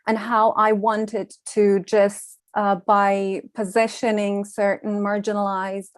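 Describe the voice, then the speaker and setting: A young woman from Iran, a Farsi speaker, giving a measured, reflective conference talk with frequent ums. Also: medium pitch, little pitch movement, no room sound, clean studio sound. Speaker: panel speaker, design researcher.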